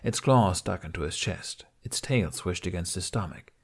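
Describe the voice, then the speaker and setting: A man's voice: deep voice, moderate pace, creaky, a little mellow, breathy edge. A man reading a scripted story aloud as an audiobook narrator.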